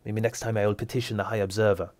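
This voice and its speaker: A man's voice: on the low side, level tone, racing, a little mellow, lightly acted. A man voicing an indignant female priestess who complains sharply and demands action. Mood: curt.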